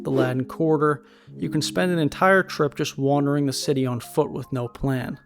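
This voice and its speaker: A man giving a steady, informative countdown in scripted travel narration. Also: on the high side, gravelly. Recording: voice-over with guitar music behind.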